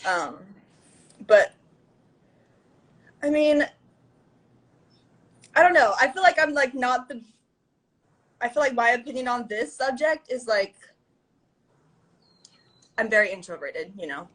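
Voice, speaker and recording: A woman's voice: fairly high pitch, animated, takes it slowly, long pauses, low-quality recording. A young adult woman talking as a guest in a casual interview, rambling with lots of "like" and "you know". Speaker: guest musician.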